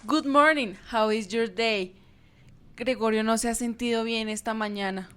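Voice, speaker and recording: A woman's voice: on the high side, a little slow, a bit bright, smooth. A woman playing a worried, polite middle-aged mother in an amateur radio play.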